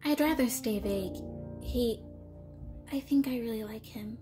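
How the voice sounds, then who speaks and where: A woman voicing an anxious, lovestruck teenage schoolgirl in a comic dub, flustered and expressive. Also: fairly high pitch, a little slow, over music, lightly acted. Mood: flustered, downcast. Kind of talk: animated-style comic dub acting.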